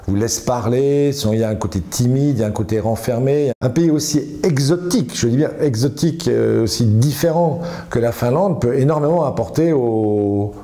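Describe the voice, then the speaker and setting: A man who runs a café talks about his intern in a documentary interview. His voice is warm and relaxed, at a medium pitch. He speaks reflectively, often circling back and repeating words as he searches for the right phrase. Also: moderate pace, hardly any pauses. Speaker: café owner.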